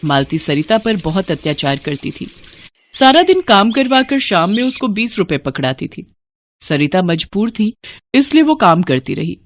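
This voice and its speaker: A woman's voice: medium pitch, big pitch swings, moderate pace. A woman narrating a scripted moral story as a storyteller.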